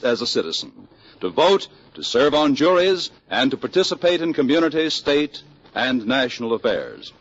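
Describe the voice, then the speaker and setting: High-pitched, animated 1940s radio announcer man. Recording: muffled old radio broadcast recording. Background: music.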